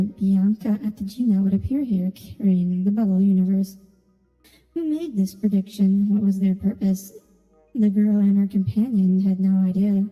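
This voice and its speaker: A woman's voice: medium pitch, warm tone. A woman streaming a video game, mixing casual commentary with reading the story text aloud. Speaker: gaming streamer playing through a story quest. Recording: reverberant sound with music behind. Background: game music.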